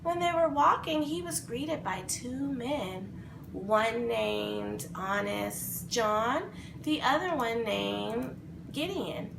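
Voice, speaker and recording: A woman telling a children's fairy tale in an expressive, dramatic, improvised way. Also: fairly high pitch, slow, gravelly. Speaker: storyteller. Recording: roomy echo, faint background noise.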